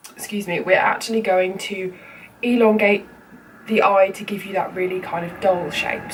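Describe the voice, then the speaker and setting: A young woman with a cold, sounding bunged up as she chats her way through a makeup tutorial with plenty of ums and you-knows. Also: mid-range voice. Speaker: makeup vlogger. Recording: slightly distant mic with faint room noise.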